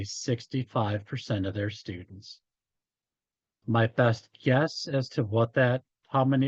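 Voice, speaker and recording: A man giving an informal statistics lecture as a college instructor, his voice a bit hoarse from talking, explaining in a halting way. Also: mid-range voice, darkish tone, no room sound, dull audio. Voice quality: slightly hoarse. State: hoarse after hours of talking. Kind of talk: informal statistics lecture, working through examples.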